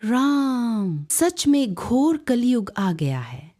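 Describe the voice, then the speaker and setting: A woman voicing a scandalized, pious old neighbourhood woman who scolds and laments in an animated moral story. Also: fairly high pitch, exaggerated voice. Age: older. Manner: slow, dramatic lamenting.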